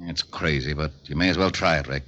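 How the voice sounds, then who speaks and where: Gruff police lieutenant, super deep but bright voice, old radio drama. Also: male voice, a little fast, muffled recording. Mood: curt, resigned. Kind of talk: 1940s radio detective acting.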